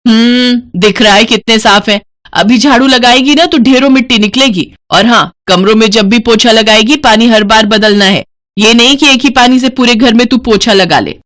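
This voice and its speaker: A woman's voice: fairly high pitch, normal speed, a bit bright, natural voice. A woman voicing a suspicious, scolding older mother-in-law from a village in a moral story cartoon. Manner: scolding, blunt.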